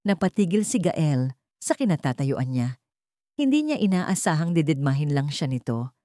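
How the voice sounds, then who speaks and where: A woman reads a dramatic story with very varied intonation. Her voice sits low for a woman, and the sound is dry, with hardly any room in it. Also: sing-song, moderate pace, dark tone, no echo. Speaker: audiobook narrator. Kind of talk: scripted dramatic story narration.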